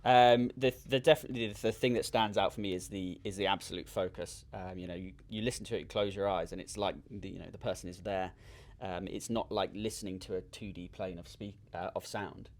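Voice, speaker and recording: A man speaking as a product designer in an interview, explaining technical details informally with frequent hesitations and pauses. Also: sits low, steady tone. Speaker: loudspeaker designer and company representative.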